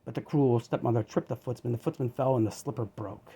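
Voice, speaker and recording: A man reading a children's story aloud as an expressive narrator. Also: mid-range voice, fast, breathy edge.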